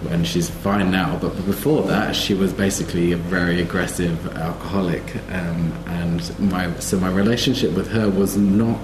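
Low-voiced man in an echoey room. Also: steady tone, moderate pace, hardly any pauses. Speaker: panelist. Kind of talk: personal storytelling on a panel. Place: live festival panel event. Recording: echoey room with background noise.

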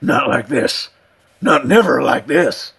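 A man voicing a frightened old homeless man with a hoarse voice and rough street speech in a story. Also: a little high, very animated, slow pace, a bit bright, pitched above the performer's own voice, exaggerated voice. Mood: scared, backing away.

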